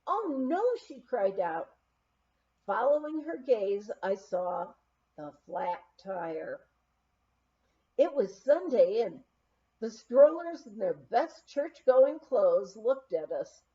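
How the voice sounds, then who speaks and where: A woman narrating a memoir as an audiobook, reading in a measured, expressive storytelling way with deliberate phrasing. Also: a little high, lo-fi sound.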